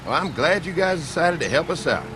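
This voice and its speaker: An actor playing a friendly, easygoing rural dairy farmer with a folksy Southern US drawl in a video game. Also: male voice, a little high, sing-song, fairly quick, background noise. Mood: affable.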